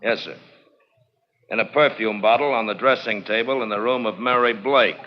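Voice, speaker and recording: A man's voice: on the low side, normal speed, heavy background noise, natural voice. An actor playing a matter-of-fact male police witness giving testimony in a 1940s radio drama. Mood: factual. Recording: muffled old radio, long hollow reverb.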